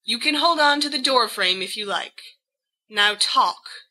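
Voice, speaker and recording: A woman's voice: a little high, expressive, unhurried, sharp tone, full character voice. A woman voicing a furious young wife in an audiobook, scolding in an emphatic, clipped, demanding way. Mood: stern.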